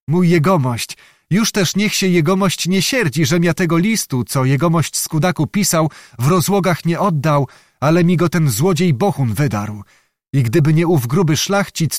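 A man voicing a chatty, devoted young servant lad, talking excitedly in rustic old-fashioned speech. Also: on the high side, no echo, studio quality, voice pushed up, lightly acted. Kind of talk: audiobook character voice.

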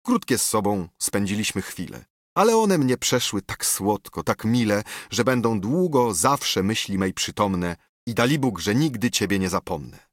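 A man's voice: lowish voice, big pitch swings, normal speed, no room sound, studio quality. A man voicing an earnest young nobleman soldier who protests in a hasty, awkward way. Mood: tender.

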